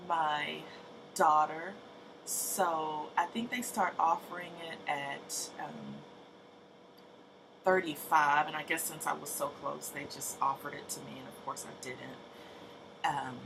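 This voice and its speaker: A woman in her late thirties talks slowly and haltingly to her camera, pausing on ums and restarting her sentences. Her voice is low with a gravelly fry, and she sounds tired. The home recording carries clear background noise. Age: 30s. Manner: soft-spoken, hesitant, many ums and restarts. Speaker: vlogger. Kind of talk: casual personal update to camera.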